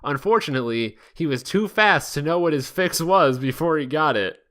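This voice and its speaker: A man's voice: a little high, expressive, moderate pace, a bit bright. A man hosting a podcast, reading fan fiction aloud in a casual comedic way and stumbling over the odd word. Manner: often breaks into laughter, stumbles over words. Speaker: podcast host.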